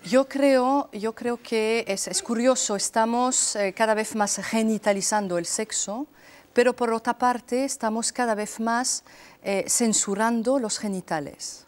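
A woman's voice: on the high side, measured pace. A woman in her thirties from France with a French accent, a TV interview guest speaking frankly with hesitations, on a lapel mic in a studio. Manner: frank, lightly amused, with many hesitations.

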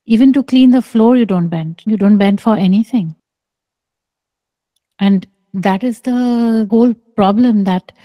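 A middle-aged woman giving spiritual teaching on a video call. She speaks softly and deliberately, with long pauses. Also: mid-range voice, no room sound, rough recording. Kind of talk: slow contemplative spiritual teaching. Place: small room indoors. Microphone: close microphone on a video call.

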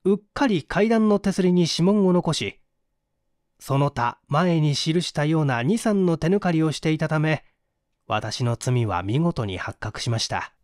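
Animated higher-voiced male story narrator. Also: no echo, studio quality. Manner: measured, formal literary delivery. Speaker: audiobook narrator. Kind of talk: dramatic reading of a mystery story as a first-person confession.

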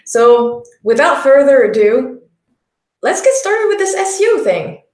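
A young woman with a Quebec French accent, giving a conference talk on a headset mic with earnest, explanatory, sometimes slow and emphatic delivery. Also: medium pitch, roomy sound. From Quebec, Canada. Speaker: conference speaker. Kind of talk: presentation talk.

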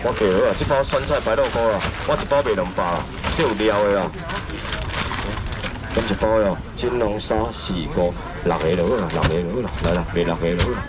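A man running a live seafood auction at an outdoor night market stall, loudly shouting prices and sales patter. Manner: loud rapid sales pitch, shouting prices. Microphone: wide camera in front of stall. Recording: noisy outdoor market, traffic behind.

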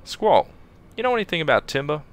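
A man voicing a brash, excitable young male fighter in a game fan dub, bursting with enthusiasm. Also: a little high, fairly quick, a little hiss or hum. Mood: excited. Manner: enthusiastic, boastful.

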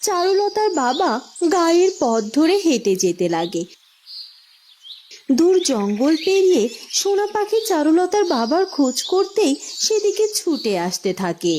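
A woman's voice: very high-pitched, very animated, unhurried, slight background noise. A woman giving scripted narration for a fairy-tale cartoon. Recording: loud music behind.

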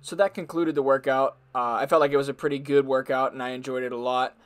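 A man's voice: mid-range voice, steady tone, fast talker. A man in his twenties, a fitness vlogger giving a scripted voice-over about a workout. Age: 20s. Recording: loud music behind the voice. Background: music.

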